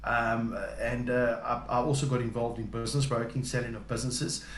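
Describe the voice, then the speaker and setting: A man's voice: medium pitch, little pitch movement, a little fast, roomy sound, slight background noise. A South African man answering interview questions, hesitant and full of ums and uhs. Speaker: business development and account manager.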